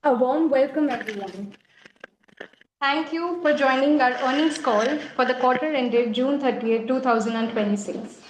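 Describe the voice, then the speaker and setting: A woman's voice: high-pitched, normal speed. A woman moderating a corporate call, reading a formal scripted announcement over a video call. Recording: roomy video call, clear noise.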